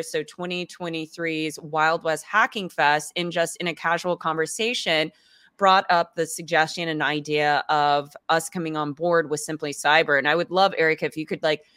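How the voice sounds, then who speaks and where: Podcast co-host, young adult woman, deep and smooth, energetic chat, dry close sound. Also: dry recording. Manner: energetic, fluent, frequent "like".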